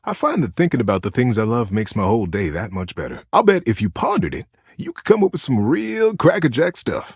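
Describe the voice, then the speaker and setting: A man voicing a kindly, folksy old childhood teddy bear in a video game, talking like a gentle, earnest storyteller. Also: mid-range voice, slightly rough, no echo, studio quality, full character voice. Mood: cheerful, musing. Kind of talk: video game character dialogue.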